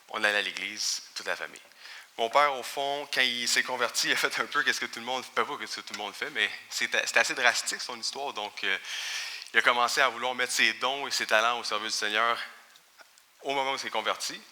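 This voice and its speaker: A man's voice: on the low side, bright, forceful tone, long reverb tail. A man in his thirties with a Quebec French accent, giving a chatty, informal personal testimony in church with light humor. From Quebec, Canada. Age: 30s. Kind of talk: personal testimony before a congregation. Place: church sanctuary.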